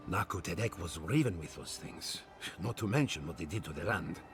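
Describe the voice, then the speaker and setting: An actor playing an eager, halting scholar who searches for words in a video game. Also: male voice, sits low, lively intonation, music in the background. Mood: eager, theorizing. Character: eager, hesitant male scholar with theories. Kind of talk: video game cutscene dialogue.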